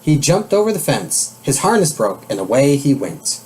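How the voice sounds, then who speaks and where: A man reading a children's storybook aloud in a measured, expressive manner. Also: medium pitch. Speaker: storybook reader.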